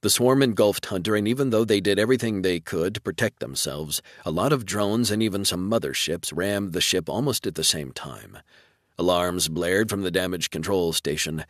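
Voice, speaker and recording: A man's voice: low voice, animated, moderate pace, no echo, studio quality. A man reading scripted narration for a military science fiction audiobook.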